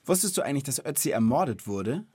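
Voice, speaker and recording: A man's voice: mid-range voice, big pitch swings, fast, darkish tone, husky, no room sound. A man hosting a kids' knowledge podcast, explaining things in an informal, curious way and checking back with questions. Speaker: host of a children's knowledge podcast. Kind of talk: casual conversational explaining to a child.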